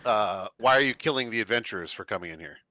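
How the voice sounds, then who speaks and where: A man playing a tabletop game, bantering casually in a wry, animated way. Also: fairly low pitch, fast talker, no room sound.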